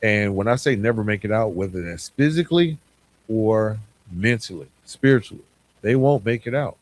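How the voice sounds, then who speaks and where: A man with a fairly low voice delivers a reflective podcast monologue. He sounds earnest and informal, talking straight to his listeners and breaking his sentences into short, halting phrases. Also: expressive. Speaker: podcast host.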